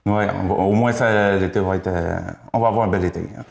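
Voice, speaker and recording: A man hosting a local TV interview, asking polite, halting questions. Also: sits low, fairly even intonation, vocal fry. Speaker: TV host. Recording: roomy echo.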